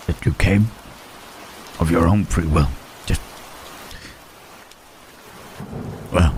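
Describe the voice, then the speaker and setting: Gentle lonely creature played by a man, very low voice, slow halting delivery, ASMR roleplay, rain and thunder behind. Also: a little hiss or hum. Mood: wistful, gentle. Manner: halting, hushed, careful speech with long pauses. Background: rain and thunderstorm ambience.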